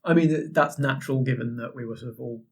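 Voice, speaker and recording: A man explaining his work as a game master in casual conversation, halting and measured as he picks his words. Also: mid-range voice, gravelly, a crowd in the background. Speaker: game master and podcast guest.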